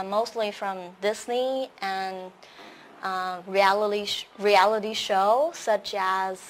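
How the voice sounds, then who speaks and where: A woman's voice: medium pitch, fairly bright tone, a little hiss or hum. A young Vietnamese woman with a Vietnamese accent, hosting a live-streamed English lesson and chatting about herself with many filler sounds. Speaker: host.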